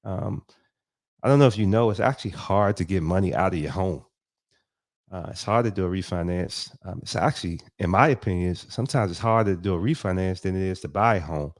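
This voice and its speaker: A man's voice: sits low, a little fast. A man with a stuffy nose from a cold, talking loosely through his thoughts with frequent ums and restarts as he comments on and reads out a news article. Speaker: host of a crypto commentary show. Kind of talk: casual commentary, reading a news article aloud.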